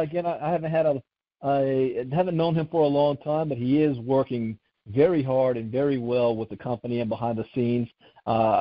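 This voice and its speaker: A male host handles introductions on a remote group call in a courteous, complimentary way. His pitch is mid-range, and the audio is dry and slightly dull. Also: no room sound. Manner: polite, complimentary.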